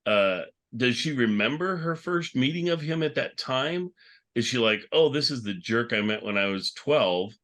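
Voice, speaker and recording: A man's voice: mid-range voice, lively intonation, moderate pace, fairly bright tone. A man chatting casually as a panelist in an informal discussion. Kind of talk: casual chat. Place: remote call for a virtual convention.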